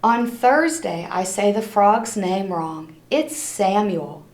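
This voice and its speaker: A woman's voice: medium pitch, expressive, slow. Woman reading storybook in echoey room. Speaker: storybook reader. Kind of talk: children's read-aloud with asides to listeners.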